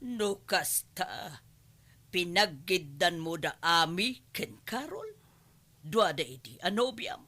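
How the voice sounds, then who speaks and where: A woman's voice: fairly low pitch, very animated, slow pace, bright, forceful tone, exaggerated voice. A woman playing an indignant, protective middle-aged mother in a radio drama, scolding and demanding answers. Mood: disappointed, scolding. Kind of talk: Ilocano radio drama acting.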